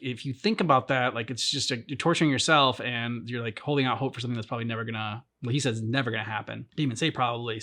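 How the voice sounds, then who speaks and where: Male reaction host, middle pitch, loose chatty commentary, hesitant and rambling delivery. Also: a bit bright. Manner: meandering, hesitant, frequent "like". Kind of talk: casual chat, game commentary.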